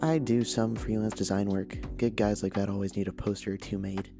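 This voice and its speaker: Man playing a teasing young woman, low and creaky. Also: little pitch movement, a little fast. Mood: breezy, chatty. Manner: playful, breezy teasing. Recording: clear guitar music behind. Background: guitar music.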